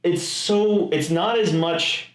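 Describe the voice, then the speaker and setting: A man co-hosting a comedic podcast, chatting casually about films in a rambling, halting, emphatic way. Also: high-pitched. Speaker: podcast co-host. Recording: echoey room.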